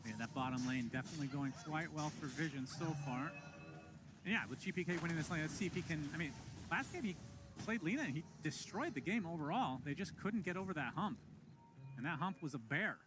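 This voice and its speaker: A man casting a video game match play by play, quick and excitable in his calls. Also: fairly high pitch. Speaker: play-by-play caster. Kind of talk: esports play-by-play commentary. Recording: broadcast commentary over loud game music.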